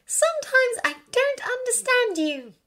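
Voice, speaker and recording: A woman's voice: very high voice, big pitch swings, a little slow, fairly bright tone, pitched above the performer's own voice, exaggerated voice. A woman voicing a bookish, earnest teenage witch who is exasperated but caring, in insistent audiobook dialogue. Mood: frustrated.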